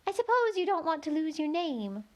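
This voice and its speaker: A tiny talking gnat from a children's storybook, acted out by a woman. The voice sits very high, well up in a small, piping register. It is careless and airy, explaining things matter-of-factly with a wistful streak. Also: sing-song, moderate pace. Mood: careless, coaxing. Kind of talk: children's storybook read-aloud.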